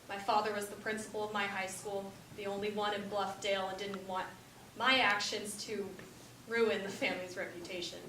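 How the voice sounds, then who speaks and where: A woman's voice: medium pitch, fairly bright tone, natural voice. A woman playing a regretful middle-aged birth mother in an earnest, emotional stage monologue. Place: indoor stage, mic far off. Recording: roomy, distant stage recording with hiss.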